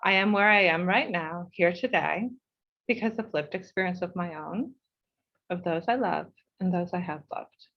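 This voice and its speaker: A woman's voice: lowish voice, muffled recording. Heartfelt New woman reading a speech. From United States. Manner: measured and heartfelt, slowing for emphasis. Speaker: board chair. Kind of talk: reading a prepared speech at an online meeting.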